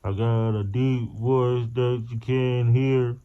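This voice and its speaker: A man's voice: medium pitch, fairly even intonation, slow pace, clean tone. A man, the vlogger's partner, chatting playfully at home and coaxing the unborn baby to come out. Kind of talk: casual home chat. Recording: dull lo-fi sound.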